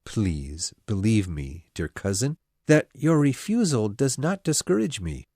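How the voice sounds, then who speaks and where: A woman voicing a pompous, self-satisfied young male clergyman who proposes, speaking in a slow, smug, insistent way. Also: a bass voice, big pitch swings, mellow, breathy edge, no room sound, studio quality, pitched below the performer's own voice, exaggerated voice. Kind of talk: storybook character voice.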